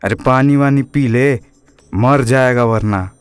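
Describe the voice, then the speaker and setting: A man's voice: medium pitch, takes it slowly, smooth, soft background music, pitched below the performer's own voice, close to an everyday voice. A man voicing a comic, evasive police inspector, grumbling and hesitant, in an audio drama. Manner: hesitant, grumbling, stammering excuses. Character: shifty, cornered rustic male police inspector, comically evasive.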